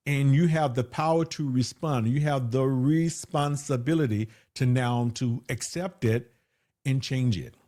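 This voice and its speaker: A man's voice: mid-range voice, measured pace, mellow. A man being interviewed as a guest, who says he has a big voice, speaking emphatically and repeating phrases for stress. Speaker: guest, hypnotherapist. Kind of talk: conversational interview.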